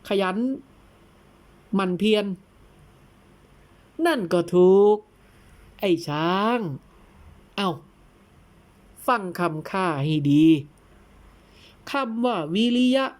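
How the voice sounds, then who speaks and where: A woman voicing a patient, probing male teacher in an audio tale, who explains things in a deliberate, didactic way. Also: medium pitch, darkish tone. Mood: emphatic, instructive. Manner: deliberate, didactic, breaks words into syllables. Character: patient, probing old-fashioned male teacher instructing his pupil.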